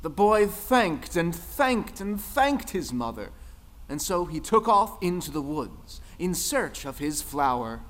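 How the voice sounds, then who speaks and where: An actor playing an excitable male folktale storyteller, theatrical, with dramatic, drawn-out emphasis. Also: fairly high pitch. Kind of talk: scripted theatrical folktale narration. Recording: music behind the voice. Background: music.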